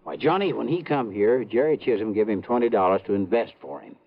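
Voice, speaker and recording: An actor playing a gullible, chatty small-town police chief in a 1950s radio drama, with folksy, rambling, ungrammatical speech. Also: male voice, fairly low pitch, fairly quick. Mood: admiring, gullible. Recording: dull vintage radio recording.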